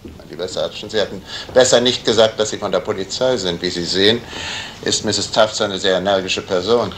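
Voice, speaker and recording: An actor playing a courteous, self-assured gentleman sleuth in a 1970s radio crime drama, polite and soothing. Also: fairly low pitch, brisk, lightly acted. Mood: confidential. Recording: vintage radio recording, faint hiss.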